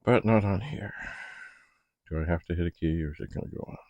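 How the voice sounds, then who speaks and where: A man thinking aloud in a halting, casual ramble as he hosts a hands-on hobby demonstration, muttering to himself. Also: low voice, mellow, no echo, muffled recording. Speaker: retro computer hobbyist host. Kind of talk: casual rambling demonstration while testing equipment.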